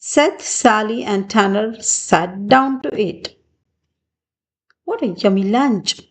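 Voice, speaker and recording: A woman reading a picture book aloud for young children, with deliberate, expressive phrasing. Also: mid-range voice. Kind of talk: read-aloud storybook narration for young children.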